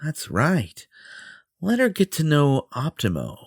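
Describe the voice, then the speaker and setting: A man's voice: a little high, huge pitch swings, slow pace, slightly rough, dry recording, clean studio sound, full character voice. A man playing a vain, possessive superhero in an audio roleplay, theatrical and self-important, with a flirtatious streak. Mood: scheming, smug.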